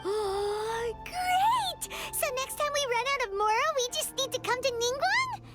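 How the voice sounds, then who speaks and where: A woman voicing a tiny, excitable fairy-like girl companion in a video game, with a chirpy, chatty manner. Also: very high-pitched, measured pace, heavily acted. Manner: chirpy, talks about herself in third person. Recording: game audio with loud music.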